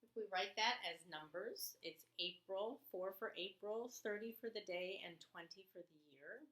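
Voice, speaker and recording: A woman, an elementary teacher reading aloud to children with expressive, chatty asides. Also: mid-range voice, moderate pace, rough recording. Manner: expressive, chatty, exclaims to young listeners. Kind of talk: read-aloud lesson for children with casual asides.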